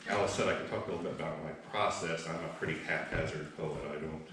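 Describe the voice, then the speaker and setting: Deep-voiced, hesitant man in an echoey room. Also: fairly even intonation. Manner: hesitant, drawn-out, self-deprecating. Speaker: amateur poet introducing his work. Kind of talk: halting informal talk to an audience. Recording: echoey room, far mic, background noise.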